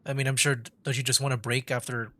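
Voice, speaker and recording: A man's voice: mid-range voice, flat delivery, quick pace, pushed and bright. A man with a mid-range voice commentates casually on a game he is streaming. He talks in loose, chatty asides, in an informal everyday way. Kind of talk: casual live gameplay commentary.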